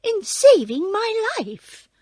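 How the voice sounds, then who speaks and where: A woman voicing a tiny, proud queen of the field mice in a squeaky, imperious storybook voice. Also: very animated, slow, dark tone, dry recording, studio quality, heavily acted. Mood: regal. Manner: imperious, emphatic. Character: tiny regal field mouse queen, female, indignant and proud.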